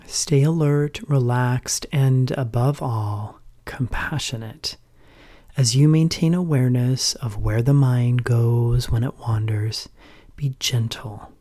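A man leading a guided meditation as a teacher, in a gentle, measured, calm manner with pauses and soft, breathy phrase endings. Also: mid-range voice.

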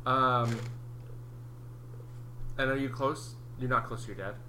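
A man in his late twenties hosting a casual podcast interview, asking questions between quick joking asides. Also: medium pitch, steady tone, sharp tone, a little hiss or hum, poor audio. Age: late 20s. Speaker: host.

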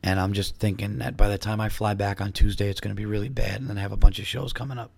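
A New man with a flat, dry delivery. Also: on the low side, quick pace, vocal fry, husky, no room sound. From New York. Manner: rambling, upbeat, with restarts and plugs. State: losing his voice, coming down with a cold. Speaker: comedian hosting his own podcast. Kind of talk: casual solo podcast chat.

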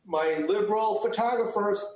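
Male interview guest, native Chinese speaker, earnest with frequent restarts, slightly high voice, very echoey room. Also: normal speed, a little mellow, a little hiss or hum. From United States. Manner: earnest, many restarts and fillers. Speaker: guest, photographer. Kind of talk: interview answers.

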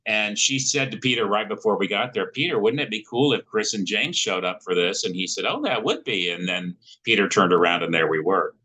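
A man with a lowish, bright, forceful voice co-hosts a podcast. He tells a story in casual chat that rambles along with many fillers and restarts. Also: fairly quick, few pauses, husky, poor audio. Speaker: podcast co-host.

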